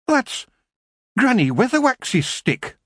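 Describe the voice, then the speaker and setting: A man voicing a fussy, haggling male dwarf broom maker in a storybook reading, with a husky voice. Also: extremely high pitch, sing-song, slow pace, no echo, studio quality, voice pushed up, exaggerated voice. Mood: wheedling. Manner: haggling, complaining.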